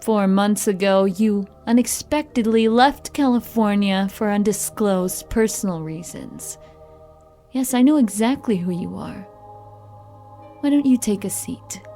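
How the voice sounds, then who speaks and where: A woman's voice: mid-range voice, a little slow, warm tone, soft background music, close to an everyday voice. A woman playing a confident, probing paranormal investigator in an audio drama, with a wry, self-assured manner.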